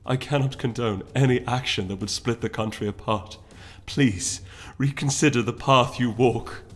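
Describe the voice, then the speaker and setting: Storybook princess, read by a man: weary and grave, measured, solemn, holding composure, medium-pitched over music. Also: natural voice. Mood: heavy-hearted appeal.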